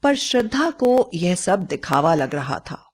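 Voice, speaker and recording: A woman's voice: lowish voice, sing-song, measured pace, slightly rough. A woman storyteller reading a scripted moral bedtime story.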